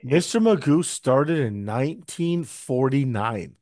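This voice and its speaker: A man with a hoarse, scratchy voice chats casually as a podcast co-host, wry and grumbling. His pitch sits mid-range, and the sound is dry and close with no room echo. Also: lively intonation, slow, a little mellow. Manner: wry, grumbling, mimics others in quotes. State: hoarse, recovering from lost voice. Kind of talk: casual chat.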